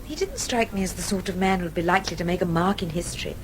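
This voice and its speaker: An actress playing an earnest, excitable young Englishwoman in a radio play. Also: on the low side, fast, natural voice. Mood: conversational. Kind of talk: radio drama acting. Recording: loud music and crowd noise behind.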